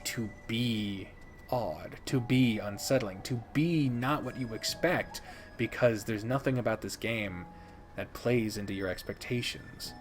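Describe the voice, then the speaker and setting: A man giving a casual, unscripted video review as host, speaking emphatically and theatrically with dramatic pauses. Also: on the low side, soft background music. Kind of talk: casual scripted-free game review commentary.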